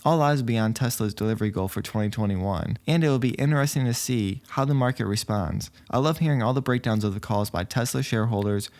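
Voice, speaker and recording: Guy doing scripted youtube news commentary, explains stuff in a measured way, medium pitch. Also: a little mellow. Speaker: YouTube host commenting on company and investing news.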